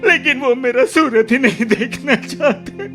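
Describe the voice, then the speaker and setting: A man playing a remorseful, tearful younger brother in a radio drama, pleading haltingly and repeating his words. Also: very high voice. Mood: hurt, tearful. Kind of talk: Hindi radio drama acting. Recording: music under the voice.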